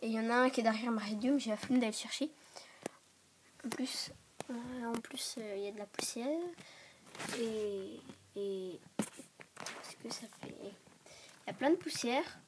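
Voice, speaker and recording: Stuffy-voiced woman vlogging, hurried. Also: on the high side. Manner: hurried, rambling, often drops to a whisper. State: stuffy voice from a cold. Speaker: vlogger showing a toy dollhouse. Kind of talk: casual show-and-tell vlog. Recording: clear background noise and clicks.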